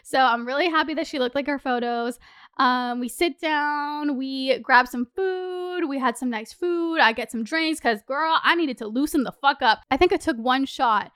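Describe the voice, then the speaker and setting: High-pitched young woman telling stories. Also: moderate pace, no echo, clean studio sound. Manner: chatty, animated, heavy use of "like" and swearing. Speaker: podcast host. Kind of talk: candid casual storytelling.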